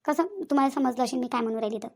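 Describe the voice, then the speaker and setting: A woman acts out a practical middle-aged village matriarch in a comedy cartoon. Her high voice runs quickly through long, repetitive reassurances in a Varhadi Marathi accent, always trying to keep the family peace. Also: creaky, darkish tone. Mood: persuasive, reassuring. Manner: talkative, reassuring, repetitive persuasion.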